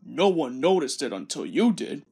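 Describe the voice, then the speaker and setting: A woman voicing a gruff, jovial big male steam engine in a children's storybook, with a grunting, chortling delivery. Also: extremely low pitch, very animated, moderate pace, darkish tone, voice pushed down, full character voice. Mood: grumpy.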